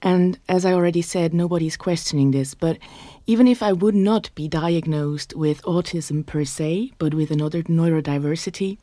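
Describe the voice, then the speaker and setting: A woman in her thirties with a Swiss German accent, hosting her own podcast in an earnest, frank monologue, often adding "like" between quick bursts. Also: low-pitched, mellow, dry recording. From Switzerland. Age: 30s. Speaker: podcast host.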